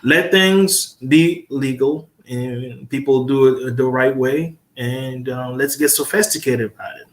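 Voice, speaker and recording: A man from the Congo speaks as a guest lawyer on a panel. He stops often for fillers and pushes his points with emphatic asides. His voice sits at a middle pitch and carries a clear room echo. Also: a little slow, hardly any pauses. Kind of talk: casual panel discussion. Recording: roomy echo.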